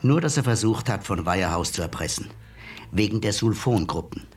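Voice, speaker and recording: An actor playing a cool, mocking male blackmailer in a radio play, smooth and unhurried. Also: lowish voice, expressive, distant mic. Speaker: blackmailing hacker visitor. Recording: voice set back from mic, music behind.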